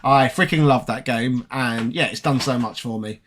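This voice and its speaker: A British man with a tired, raspy voice, chatting casually on a live stream and reading viewer comments aloud. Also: mid-range voice, normal speed, fairly bright tone, a little hiss or hum. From Britain. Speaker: retro gaming streamer.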